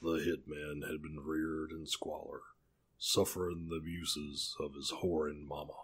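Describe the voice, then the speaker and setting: A man putting on the slow, deep drawl of an old cowboy. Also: level tone, a bit bright, slightly rough, exaggerated voice.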